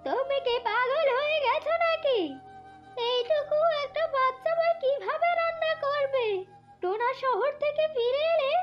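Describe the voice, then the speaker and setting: Woman playing a high-voiced, protective old cartoon grandmother. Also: a little slow, lo-fi sound, soft background music. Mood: indignant. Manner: admonishing, affectionate. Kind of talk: Bengali fairy-tale cartoon acting.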